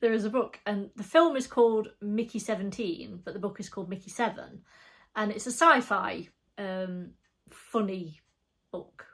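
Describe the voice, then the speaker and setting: A woman's voice: mid-range voice, moderate pace. A woman, a bit bunged up with a cold, chatting casually and rambling in a craft vlog at home. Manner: rambling, chatty, soft-spoken with frequent fillers. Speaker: flosstube vlogger. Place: her home.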